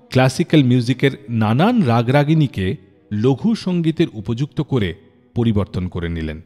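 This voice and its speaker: A male radio host narrates in a storyteller's dramatic, scripted style. His pitch moves in lively swings through a middle register. The voice rings with long hall reverb over a faint bed of music. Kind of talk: scripted storytelling radio narration about classic film songs.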